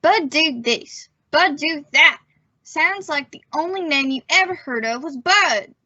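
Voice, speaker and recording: A cheeky young son, played by a young woman in a radio family comedy. The voice is high and bright with lively swings in pitch, on a slightly muffled recording. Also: unhurried, full character voice. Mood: grumbling. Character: cheeky, put-upon son, a young boy. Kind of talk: old-time radio family comedy acting.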